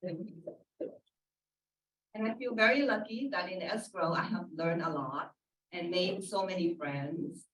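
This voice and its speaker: A woman's voice: lowish voice, a little slow, pauses often, fairly bright tone. Middle-aged woman, friendly panel talk. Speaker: escrow officer, panelist. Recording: echoey room, distant mic.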